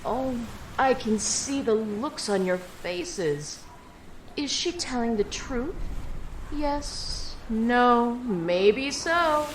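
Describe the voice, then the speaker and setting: A woman's voice: fairly high pitch, lively intonation, very slow, echoey room, a little hiss or hum, low-quality recording. A woman playing an imperious abyssal villain in an audio drama, drawling and taunting with mock politeness. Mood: smug, menacing. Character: mocking, imperious abyssal female villain, ancient sea witch.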